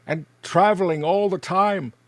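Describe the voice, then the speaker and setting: An agitated old miser from a Victorian storybook. The voice sits high and theatrical, quick to protest or plead. He is fearful and imploring, heard in a very dry recording. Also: no room sound. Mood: humble, musing. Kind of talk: dramatic reading of a Victorian Christmas story.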